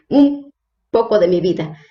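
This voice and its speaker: A religious sister from Hidalgo, Mexico, whose first language is Nahuatl, introducing herself in an interview with a hesitant manner and many fillers. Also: female voice, mid-range voice, animated, takes it slowly, roomy sound, muffled recording. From Mexico, Hidalgo state. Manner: hesitant, frequent fillers and restarts.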